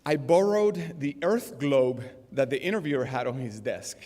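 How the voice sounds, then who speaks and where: Middle-aged guy w a venezuelan accent doing a graduation speech, big hall reverb, takes his pauses. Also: medium pitch, lively intonation, warm tone. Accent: Venezuelan Spanish. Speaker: graduation speaker, business executive. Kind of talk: formal commencement speech. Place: large indoor auditorium stage. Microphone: podium gooseneck microphones. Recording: long hall reverb from auditorium PA.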